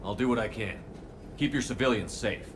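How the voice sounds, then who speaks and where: An actor playing a steady military commander in a video game, speaking with terse authority. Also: male voice, lowish voice, brisk, noisy background, lightly acted. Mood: businesslike. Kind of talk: video game cutscene acting.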